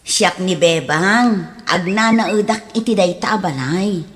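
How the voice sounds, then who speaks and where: A woman playing a gentle, doting, easily puzzled mother in a comedy radio drama, speaking affectionately. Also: medium pitch, slow, slight background noise, lightly acted. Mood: puzzled, defensive.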